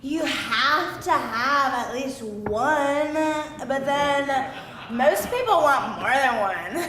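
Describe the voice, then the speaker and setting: An actress plays a nosy, gushing woman who pushes her opinions. Her high, swooping voice takes its time, heard from a distance in an echoey room. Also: expressive, drawn-out words, background noise, exaggerated voice. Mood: gushing, effusive. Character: pushy, gushing, nosy female party acquaintance. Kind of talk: screenplay table read before an audience. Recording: echoey room, distant mic, faint ambience.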